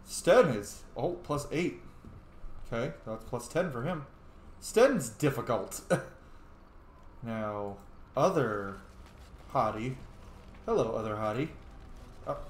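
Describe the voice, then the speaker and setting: A man's voice: mid-range voice, animated, slow, pauses often. Casual man commentating in an echoey room. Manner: informal, rambling, thinking aloud. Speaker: game streamer, role-player. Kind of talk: casual playthrough commentary, reading dialogue choices in character. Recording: roomy, noisy home recording.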